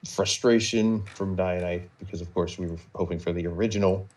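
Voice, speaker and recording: A man recounting a roleplay story off the cuff on a live stream, halting and measured, wandering into small digressions. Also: sits low, fairly even intonation, darkish tone. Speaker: storyteller recapping a game server roleplay.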